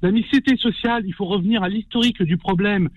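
A man's voice: high-pitched, fast, no room sound. A man calling in to a radio debate, apologetic but firm, often repeating his words, heard over a phone line. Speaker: radio caller. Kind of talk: call-in opinion.

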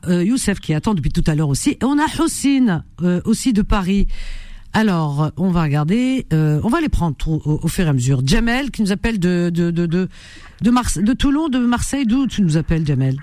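A woman hosting a call-in radio show, chatty and quick to repeat herself as she hands over to callers. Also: on the low side, sing-song, darkish tone, no room sound, studio quality. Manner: chatty, repeats words, many restarts. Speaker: radio host.

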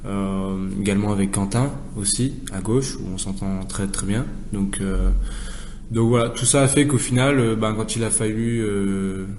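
A man's voice: on the low side, moderate pace, darkish tone, a little hiss or hum. A young man, a professional footballer, answering interview questions casually and explaining himself at length in a conversational way. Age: young adult.

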